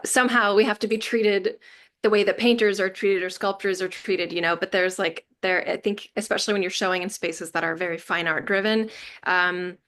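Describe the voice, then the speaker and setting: A woman's voice: medium pitch, a bit bright. A woman artist discussing their work conversationally, reflective and hesitant as they think aloud. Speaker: artist, panel guest.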